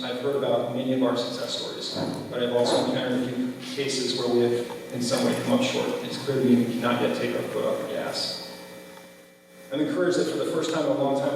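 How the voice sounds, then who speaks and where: Echoey, fast-talking man giving a campaign speech. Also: mid-range voice. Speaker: school board candidate. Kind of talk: closing campaign speech at a public forum. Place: large echoey room at a public forum. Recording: echoey hall from far off, faint noise.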